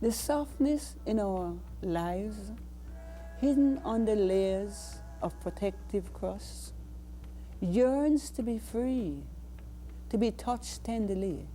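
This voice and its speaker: A woman with a Jamaican accent reciting spoken-word poetry in a deliberate, dramatic way. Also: medium pitch, lively intonation, dark tone, soft background music. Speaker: poet.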